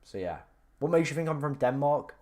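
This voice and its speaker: A man's voice: on the high side, animated, a little fast, a little mellow. A young man from England chatting casually on a live stream, reading out viewer questions and talking through options with lots of fillers. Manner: chatty, filler-heavy, restarts, reads viewer questions aloud. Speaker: fantasy football streamer answering live chat.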